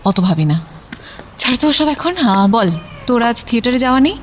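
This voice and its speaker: A young professional woman in an audio love story, bantering and defensive yet devoted. Her voice sits fairly high, with faint ambient noise behind her conversational lines. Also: very animated, a little fast. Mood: teasing, defensive. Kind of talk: audio story dialogue in casual Bengali.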